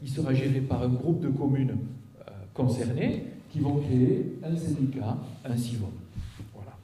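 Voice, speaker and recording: Male local official explaining a plan methodically to a meeting; very mellow tone, distant and reverberant in an auditorium. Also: medium pitch, normal speed, slight background noise. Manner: didactic, step-by-step, with check-in tags and rhetorical questions. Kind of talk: public presentation, explanatory talk. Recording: echoey auditorium, picked up from a distance.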